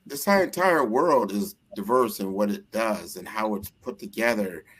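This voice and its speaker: Low-pitched American man hosting. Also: a little slow, fairly bright tone, breathy edge, rough recording. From United States. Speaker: show host. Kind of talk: conversational interview commentary.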